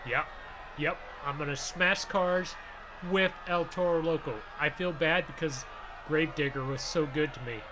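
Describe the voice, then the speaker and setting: An American man hosting a gaming roleplay video, exclaiming in casual, excited commentary. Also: a little high, moderate pace. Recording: clear noise, vehicle ambience behind.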